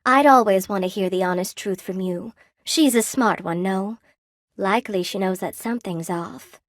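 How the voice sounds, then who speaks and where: A woman's voice: mid-range voice, normal speed, no echo, clean studio sound, natural voice. An actress voicing a friendly, wry spider weaver woman in a video game, chatty and coy, with a folksy, lightly theatrical delivery. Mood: curious, friendly. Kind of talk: video game character dialogue. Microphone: close dry studio microphone.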